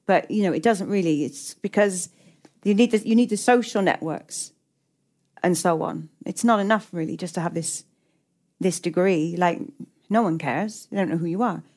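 A woman's voice: lowish voice, moderate pace, a little mellow. A middle-aged woman with an English accent giving reflective interview answers as a writer, hesitant and often repeating and restarting her words. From England.

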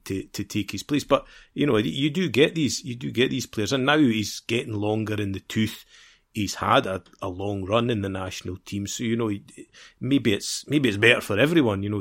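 A man co-hosting a football podcast in a casual, discursive conversational way. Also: lowish voice.

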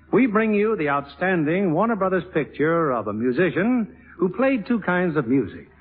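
Male 1950s radio host, formal and courtly, expressive scripted introductions, narrow-band old broadcast sound. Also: on the high side. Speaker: radio theater producer and host.

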